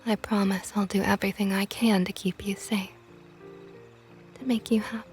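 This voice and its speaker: A woman voicing a possessive, flirtatious female vampire knight in an ASMR roleplay, talking softly and often in a whisper with a teasing tone. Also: medium pitch, flat delivery, normal speed, faint music behind, close to an everyday voice. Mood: probing intimate.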